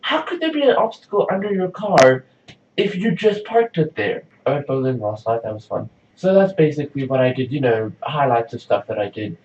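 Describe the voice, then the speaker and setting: A man's voice: mid-range voice, sing-song, a little fast, a little mellow, slight background noise. Chatty young man, distant roomy recording. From South Africa. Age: young adult. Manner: rambling, chatty, says "like" often. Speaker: vlogger. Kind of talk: casual diary vlog. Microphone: camera mic from a distance. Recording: roomy, distant, dull lo-fi sound.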